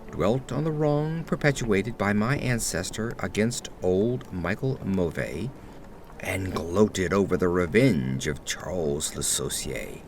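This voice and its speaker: A man's voice: mid-range voice, a little hiss or hum. A man playing a haunted aristocrat who narrates a gothic horror audio drama in formal, theatrical, ornate phrasing. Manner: formal, theatrical, expressive delivery. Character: haunted aristocratic man recounting terror in grave, ornate words.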